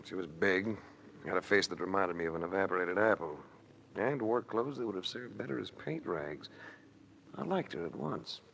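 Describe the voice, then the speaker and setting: Low-voiced wry adventurer. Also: male voice, normal speed, vocal fry. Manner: wry, deadpan, hard-boiled. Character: wry, cool male adventurer-investigator narrating his case. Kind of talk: 1940s radio acting, first-person narration. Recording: noisy old 1940s radio recording.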